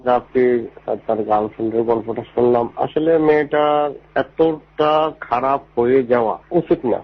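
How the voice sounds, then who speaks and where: A man's voice: mid-range voice, unhurried. A man giving matter-of-fact, judgmental advice as a listener to a radio show. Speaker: doctor giving a listener's opinion. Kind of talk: spoken advice. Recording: tinny phone-like radio recording.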